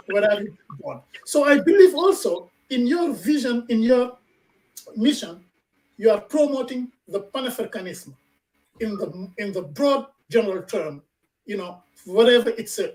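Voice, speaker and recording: A man hosting a talk radio program, speaking haltingly with many fillers and repeats. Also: sits high, lively intonation. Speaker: radio show host. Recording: distant from the mic.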